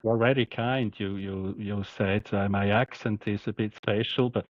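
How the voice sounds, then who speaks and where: A man with a Swiss accent, a doctor speaking as a podcast guest, halting and repeating words but emphatic. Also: on the low side, fairly even intonation, no echo, dull audio. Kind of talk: podcast interview.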